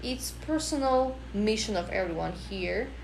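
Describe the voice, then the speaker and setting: A woman's voice: mid-range voice, expressive, measured pace, gravelly, roomy sound, background noise. A woman from Russia in her thirties, speaking English with a Russian accent in a rambling, casual livestream. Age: 30s. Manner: non-native English, rambling. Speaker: livestream host.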